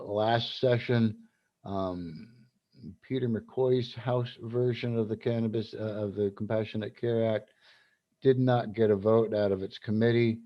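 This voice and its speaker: A man's voice: fairly low pitch, dull audio. A man from South Carolina, hosting a casual advocacy livestream, rambling with many fillers and restarts. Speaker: cannabis advocacy group host.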